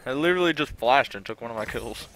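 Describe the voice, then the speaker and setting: A young man giving casual, profane commentary on his own game, recorded at a desk at home, given to loud bursts of frustration. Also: mid-range voice, lively intonation, fast, rough recording. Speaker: gamer streamer. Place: small room at home, indoors.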